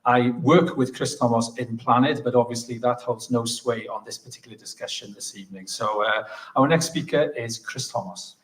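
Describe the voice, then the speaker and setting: A man's voice: mid-range voice, normal speed, a bit bright. A man hosting a live political hustings and introducing the next speaker. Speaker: hustings host. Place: live public hustings event. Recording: faint crowd behind, off-mic.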